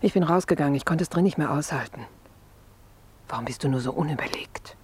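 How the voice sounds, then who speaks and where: An actress playing a nervous young woman hiding her lover in a radio drama, hushed and breathy. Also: deep voice, fast. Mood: urgent, secretive. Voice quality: breathy, hushed, half-whispered. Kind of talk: German radio play acting.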